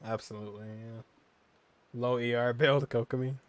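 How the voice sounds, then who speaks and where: A man's voice: mid-range voice, fairly even intonation, moderate pace. Casual joking gaming commentator man. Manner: casual, joking reactions with laughs. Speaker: gaming streamer. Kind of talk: casual commentary while playing.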